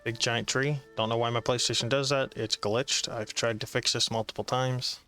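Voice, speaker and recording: A man's voice: mid-range voice, fairly even intonation, moderate pace, bright, forceful tone. A man giving casual gameplay commentary in an offhand manner. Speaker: gaming video host. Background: faint game music.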